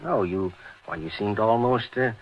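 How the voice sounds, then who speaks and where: A man's voice: fairly low pitch, normal speed, noisy background, natural voice. Man acting an earnest doctor, muffled old radio. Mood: puzzled probing. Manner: earnest, polite, hesitant. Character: concerned, deferential male doctor, loyal colleague. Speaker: actor in a horror radio drama.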